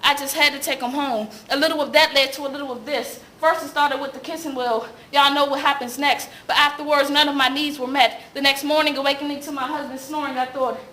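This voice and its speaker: A woman's voice: high-pitched, fairly even intonation, fairly quick, hardly any pauses, bright, forceful tone. A woman performing slam poetry as rhythmic, rhyming spoken word with an emphatic, cadenced delivery. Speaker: slam poet. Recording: echoey room sound with faint noise.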